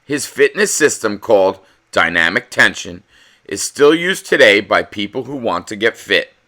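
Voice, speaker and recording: Bright, animated male storybook reader. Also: on the low side, normal speed. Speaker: read-aloud host reading a children's picture book. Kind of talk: expressive children's storybook narration, with casual chat to viewers.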